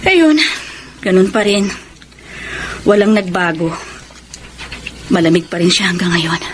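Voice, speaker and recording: A woman's voice: lowish voice, animated, measured pace, noisy background. A Filipino woman playing a devoted, lonely middle-aged wife in an emotional Tagalog radio drama. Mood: sad, earnest. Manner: plaintive, emotional. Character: long-suffering, devoted, lonely wife and mother.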